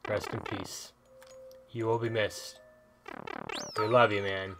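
A man's voice: fairly low pitch, flat delivery, slow pace, rough recording. A man giving casual gameplay commentary as a video host, chatting and thinking aloud as he plays. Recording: game music behind the voice. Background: video game music.

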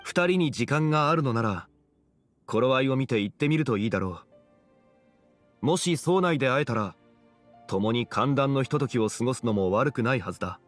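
Man playing a composed, dignified, wise gentleman. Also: mid-range voice, lively intonation, soft background music, close to an everyday voice. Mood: calm, courteous. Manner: measured, formal, courteous. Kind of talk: Japanese video game voice acting.

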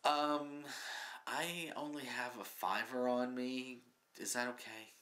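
A man's voice: mid-range voice, fairly even intonation, takes it slowly, sharp tone. A man in his fifties, answering in an earnest, matter-of-fact way with lots of ums, seated in a small studio. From Canada. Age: 50s. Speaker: comedy writer, guest. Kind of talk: mock interview, plain answers. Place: small studio with curtain backdrop.

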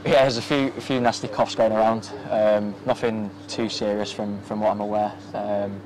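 A young man, a footballer, giving a soft-spoken post-match interview outdoors at a floodlit ground at night, with many ums and restarts, into a handheld mic. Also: on the low side, little pitch movement, moderate pace. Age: young adult. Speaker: professional footballer. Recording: outdoor ambience with faint noise.

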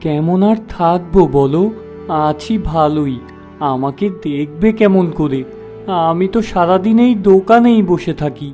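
A man's voice: on the high side, a little slow, warm tone, natural voice. A man playing a gullible, starry-eyed young village shopkeeper in a cartoon, naive, eager and trusting. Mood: modest. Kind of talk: cartoon moral-story dialogue. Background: background music.

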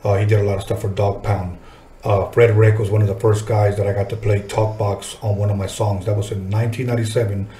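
A man's voice: on the low side, level tone, quick pace, warm tone, echoey room, slight background noise. A middle-aged man hosting a casual interview, talking informally with plenty of swearing. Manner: informal, profane, reminiscing. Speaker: podcast host, music artist. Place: small podcast studio, indoors. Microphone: desk podcast microphone.

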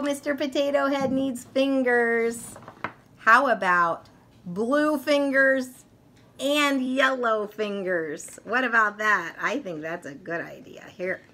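Slow, animated woman reading to children. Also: fairly high pitch, a little hiss or hum. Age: middle-aged. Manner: expressive, deliberate, sing-song, child-directed delivery. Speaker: children's storyteller and teacher. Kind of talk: picture book read-aloud for young children. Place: furnished room at home, indoors.

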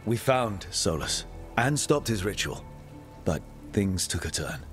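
A steady, direct adventurer with a lowish voice. He is calm and to the point, checking on his allies and asking how he can help. This is video game cutscene dialogue. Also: a little slow, soft background music.

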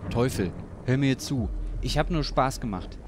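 Man playing theatrical gangster boss, mid-pitched. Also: lively intonation, moderate pace, heavy background noise, close to an everyday voice. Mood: pleading. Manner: bossy, theatrical. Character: cocky, bullying male gangster boss in hell.

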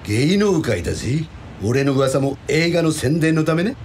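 A man playing a wildly animated, playful actor. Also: measured pace, slight background noise. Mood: breezy, admiring. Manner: theatrical, swinging between low murmurs and high whines. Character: playful middle-aged male villain actor weary of hatred. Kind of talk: radio drama dialogue.

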